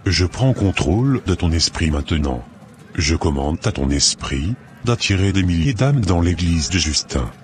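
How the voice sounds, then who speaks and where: Man playing a very deep, sinister sorcerer. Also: animated, moderate pace, darkish tone, a little hiss or hum. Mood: cold, instructing. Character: sinister older male marabout sorcerer, calmly commanding. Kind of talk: cartoon voice acting in French. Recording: music behind the voice.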